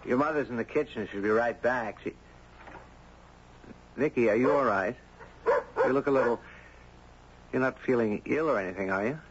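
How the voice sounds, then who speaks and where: A man playing a courteous, curious storyteller, gentle, thoughtful and well-spoken, in a muffled, noisy 1970s radio drama. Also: medium pitch, moderate pace, pauses often, natural voice. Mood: concerned. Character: courteous, curious man, narrating his own strange tale.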